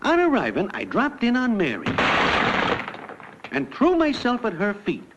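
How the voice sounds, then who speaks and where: A man's voice: high voice, wildly swooping pitch, measured pace, very noisy, heavily acted. An American actor playing a brash young newcomer to the afterlife, breezily telling his life story in slang. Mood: matter-of-fact, jaunty. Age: young adult. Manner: breezy, wisecracking, packed with idioms. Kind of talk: classic cartoon comic narration. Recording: dull lo-fi cartoon soundtrack, loud music.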